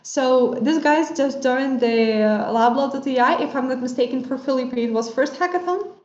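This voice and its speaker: A woman hosting a livestream panel on a video call, talking in a slightly halting way with non-native phrasing. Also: high-pitched, darkish tone. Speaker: host. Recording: roomy, dull lo-fi stream audio.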